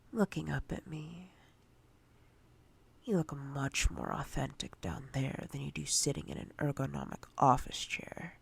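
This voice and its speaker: Female boss for roleplay ASMR , played by a woman: menacing and condescending, very husky half-whisper, unhurried commands. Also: sits low, exaggerated voice. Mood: cold, commanding. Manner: slow, deliberate, commanding, condescending. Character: domineering, menacing female corporate boss toying with a subordinate.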